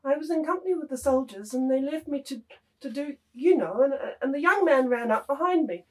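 A woman playing a defensive young prostitute in a novel reading, halting and stammering, recorded away from the mic. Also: sits high, lively intonation. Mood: defensive, pleading. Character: defensive young street prostitute pleading her loyalty. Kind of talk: dramatised table reading of a literary novel. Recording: voice distant from the mic.

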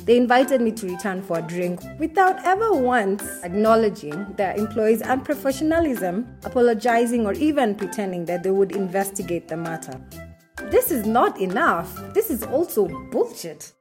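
A woman reading a personal essay aloud in the first person, with a measured, indignant delivery. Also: medium pitch, big pitch swings, darkish tone, faint music behind.

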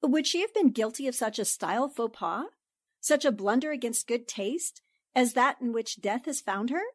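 An actress plays a sharp-eyed newspaper reporter in a 1940s-style radio drama. Her voice sits fairly high and is recorded dry and close. She is poised, precise and politely probing. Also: lively intonation, no echo. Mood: confident. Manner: polite, precise, deliberate questioning.

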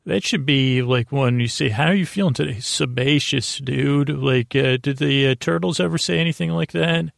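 Gravelly, meandering man rambling at bedtime. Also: medium pitch, no room sound, studio quality. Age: 40s. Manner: meandering, halting, repeats and trails off mid-thought. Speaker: host of a sleep podcast.

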